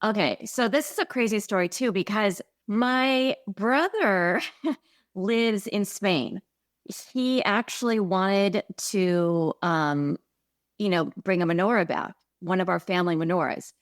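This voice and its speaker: A woman's voice: medium pitch, slow, no echo. Earnest woman, dry close sound. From American Midwest. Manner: reflective, earnest storytelling. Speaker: podcast co-host. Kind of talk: conversational podcast chat.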